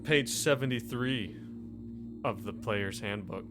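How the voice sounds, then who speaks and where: Male game master, lowish pitch, off-the-cuff conversational horror narration with halting phrasing, over prominent music. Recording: music playing under the voice. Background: background music.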